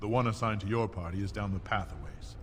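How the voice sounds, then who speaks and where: An actor playing a dutiful dwarf soldier in a fantasy game, reporting in a businesslike way. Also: male voice, fairly low pitch, fast, natural voice. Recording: echoing tunnel, faint noise.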